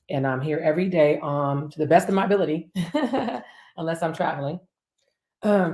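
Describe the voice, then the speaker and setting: A woman with a very low voice, rougher than usual this morning, leads a live-streamed devotional. She talks in a chatty, upbeat way, greeting viewers and stretching out phrases for emphasis, and the sound rings around an echoey room. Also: a little mellow. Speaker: life coach and preacher hosting a daily livestream.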